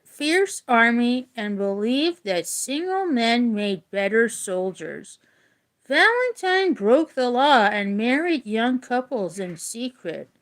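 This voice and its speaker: Slow, high-voiced woman reading aloud expressively. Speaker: teacher reading a book aloud. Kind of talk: expressive read-aloud with casual explanatory asides.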